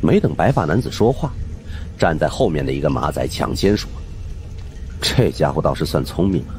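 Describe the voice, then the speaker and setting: A man narrating a suspense horror audiobook in an expressive, dramatic storytelling manner. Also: low voice, a little hiss or hum.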